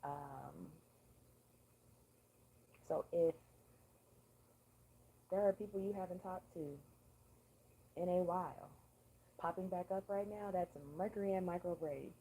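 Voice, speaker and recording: A woman hosting a casual livestream chat, hoarse after losing her voice, talking in a laid-back, profane way. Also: lowish voice, slow pace, long pauses, mellow, poor audio. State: hoarse, lost voice. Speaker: livestream host.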